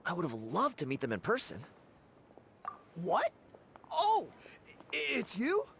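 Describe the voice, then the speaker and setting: An actor voicing a friendly, easily amazed local festival dancer in a video game, casual and expressive. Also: medium pitch, slow, slight background noise, full character voice. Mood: surprised, delighted.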